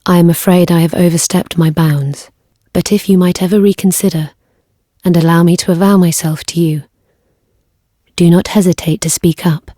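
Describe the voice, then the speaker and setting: A woman's voice: on the low side, steady tone, moderate pace, warm tone, dry recording, clean studio sound, close to an everyday voice. An actress playing a reserved, earnest young lady knight in a fantasy game, speaking with formal, courteous, old-fashioned phrasing. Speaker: video game voice actor.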